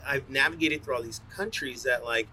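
Bright-voiced man chatting informally. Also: mid-range voice, normal speed, low-quality recording. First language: bilingual English and fluent Spanish. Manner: informal, rambling, slangy. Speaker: coffee roaster, interview guest. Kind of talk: casual conversational interview answers.

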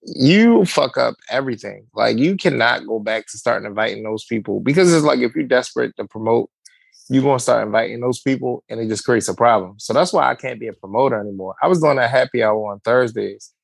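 A man's voice: medium pitch, brisk, gravelly, no room sound. A man in his forties in casual podcast chat, telling joking stories and acting out what people said. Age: 40s. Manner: animated, joking storyteller who acts out quotes. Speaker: podcast co-host.

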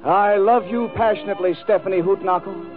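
High-voiced, gushing melodramatic lover, acted by a man. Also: steady tone, brisk, phone-quality sound, close to an everyday voice. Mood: gushing, yearning. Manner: overwrought, sighing, repeating phrases for effect. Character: melodramatic, gushing male lover reciting lost romance. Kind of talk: 1940s radio comedy monologue. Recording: thin vintage radio broadcast with music, audience.

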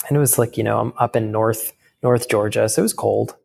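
A young man telling a candid personal story in casual talk, halting, with many fillers and restarts. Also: on the low side, steady tone, gravelly, darkish tone. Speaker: guest.

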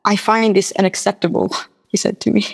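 A woman from Mauritius, a native speaker of French and Creole, telling personal stories as a guest musician in a halting, conversational way, often repeating her words. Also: mid-range voice, fairly even intonation, gravelly, slightly rough. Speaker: guest musician and singer-songwriter. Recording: long reverberant hall sound.

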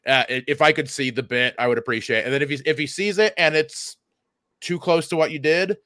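A man's voice: on the high side, brisk, a bit bright, no room sound, studio quality. A man who co-hosts a comedy podcast, chatting casually, stammering over repeated words and swearing freely. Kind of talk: casual chat.

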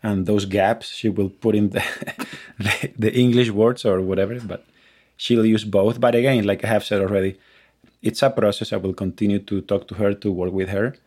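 A man in casual conversation, speaking in a measured, thoughtful way. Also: on the low side, steady tone, darkish tone.

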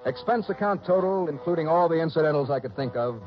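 A man's voice: a little high, lively intonation, fast talker, soft background music, natural voice. An actor playing a tough, earnest investigator with an American accent, narrating his case in clipped, intense 1960s radio style. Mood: wry, subdued. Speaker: star and narrator. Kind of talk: dramatic first-person 1960s radio narration. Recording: noisy, muffled old radio recording.

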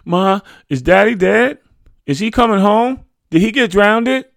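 Guy playing a polite little boy of nine in an audiobook, earnest, voice pitched up. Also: expressive, unhurried, creaky, a bit bright, no room sound, studio quality, exaggerated voice. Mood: anxious, pleading. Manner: childlike, simple phrasing. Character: polite, inquisitive nine-year-old boy.

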